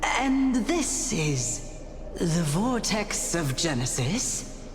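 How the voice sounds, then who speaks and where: A woman's voice: fairly low pitch, very animated, very slow, noisy background, full character voice. A woman voicing a stately ancestral spirit in a video game, formal, grand and solemn. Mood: regal, wondering. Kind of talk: video game character acting. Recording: echoey hall reverb, music behind.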